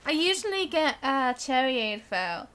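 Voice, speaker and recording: High, bright young British woman streamer. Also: big pitch swings, measured pace, clean tone. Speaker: game streamer. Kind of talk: casual live-stream chat.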